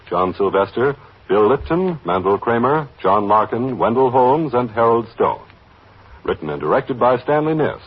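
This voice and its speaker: Lively, husky American radio announcer, male. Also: fairly low pitch. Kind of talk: formal old-time radio announcing. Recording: muffled old radio recording.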